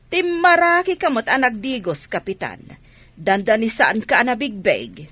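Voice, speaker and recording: A woman playing a nosy, playful village gossip in a radio comedy. Her husky voice moves in lively swoops as she teases. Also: a little high, unhurried, full character voice. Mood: teasing. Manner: teasing, playful. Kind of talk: Ilocano comedy radio drama acting.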